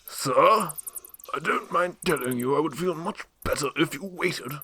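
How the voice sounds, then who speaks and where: A man plays an older butler in a radio drama, dutiful and fretful. His voice is husky and swings widely in pitch as he pleads in formal, deferential phrases. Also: animated, unhurried, pushed and bright, no room sound, exaggerated voice. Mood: exasperated.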